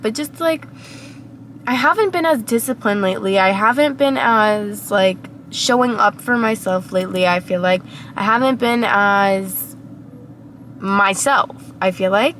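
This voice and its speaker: A young woman talks to her camera from inside a parked car, her voice hoarse and raspy from a cold. She sits a little high in pitch and rambles in a reflective way, full of fillers. Faint car and street noise hums behind her. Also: a bit bright. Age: young adult. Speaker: vlogger. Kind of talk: casual vlog monologue.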